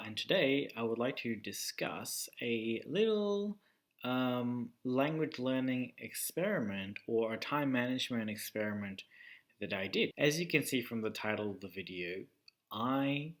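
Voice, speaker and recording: A man's voice: medium pitch, a bit bright. Hesitant young man vlogging about languages. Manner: hesitant, many ums and uhs, thinks aloud. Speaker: language learning YouTuber. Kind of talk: casual talking-head vlog.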